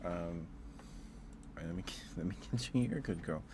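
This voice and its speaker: A man's voice: low-pitched, a little fast, noisy background. A man who streams ASMR, chatting casually and musing aloud in a hesitant, rambling way. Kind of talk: casual ASMR livestream chat.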